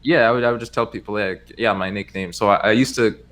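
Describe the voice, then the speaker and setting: Man, young adult, very deep voice, American accent, Spanish native speaker, casual rambling guest, filler-heavy. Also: fairly even intonation, quick pace, a bit bright. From United States. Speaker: podcast guest. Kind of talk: casual chat.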